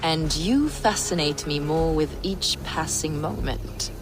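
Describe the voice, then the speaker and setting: A woman playing a devout, fervent medieval scholar in a video game, speaking in a formal, reverent, deliberate way. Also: low voice, noisy background. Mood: reverent, intrigued. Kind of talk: dramatic video game acting. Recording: music behind the voice.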